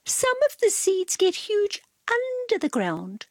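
Very high, haughty cat. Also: female voice, wildly swooping pitch, slow, no room sound, full character voice. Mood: haughty, knowing. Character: haughty female pet cat, knowing and patient. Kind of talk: children's storybook character voice.

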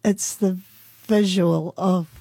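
Middle-aged woman guest, mellow and slightly low, reflective, halting delivery, dry close recording. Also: steady tone, gravelly, dry recording. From born in England, grew up in New Zealand. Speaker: radio show guest. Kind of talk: casual reminiscing interview.

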